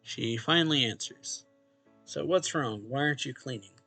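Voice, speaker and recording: A man's voice: medium pitch, normal speed, creaky, pushed and bright. A man reading a visual novel's narration and dialogue aloud expressively, as a let's play host. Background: game music.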